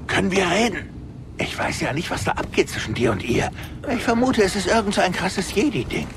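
An actor playing an excitable, soft-hearted gambler pilot, an alien, in a game audio drama, chummy and pleading. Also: male voice, high voice, brisk, noisy background. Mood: pleading, remorseful. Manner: chummy, pleading, wildly swooping delivery. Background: music.

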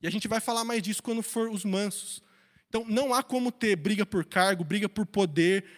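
A man's voice: sits high, brisk. A man with a Brazilian accent giving an informal sermon, speaking colloquially and emphatically as a preacher leading a Bible study. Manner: colloquial, emphatic, acts out imagined dialogue with rhetorical questions. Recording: reverberant hall.

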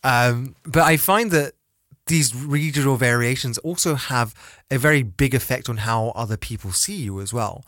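A man telling stories in a relaxed casual chat, full of "like" and "you know". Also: mid-range voice, normal speed, no room sound, studio quality.

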